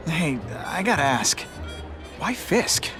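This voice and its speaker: An actor playing a young male hero, wisecracking and energetic, who works as a part-time photographer. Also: fairly high pitch, slow, over music. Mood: quippy. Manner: quippy, energetic.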